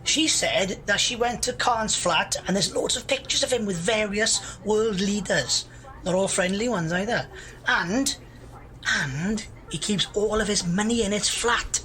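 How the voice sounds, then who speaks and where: A woman's voice: medium pitch, a little slow, a bit bright, lightly acted. Woman playing a grumbling, opinionated young procrastinator. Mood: gossipy insistence. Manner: argumentative, grumbling. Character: bored, opinionated, gossip-loving young procrastinator. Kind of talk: audio drama dialogue.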